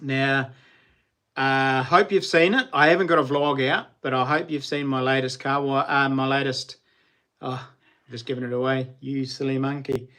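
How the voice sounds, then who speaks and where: A man's voice: mid-range voice, steady tone, moderate pace, sharp tone. A middle-aged man with a New Zealand accent, hosting a casual livestream and chatting with viewers in a rambling, slangy way with frequent laughs. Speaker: livestream host.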